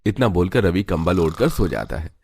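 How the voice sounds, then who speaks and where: A man's voice: sits low, very animated, normal speed, creaky, darkish tone, a little hiss or hum. A man narrating a scripted family story for a cartoon.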